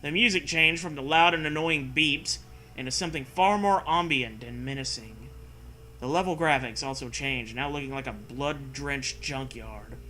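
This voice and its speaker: Bright, forceful man, sarcastic read-aloud. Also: medium pitch, normal speed, slight background noise. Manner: sarcastic, swearing. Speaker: host reading and mocking fan fiction. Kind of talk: story read-aloud with mocking casual commentary.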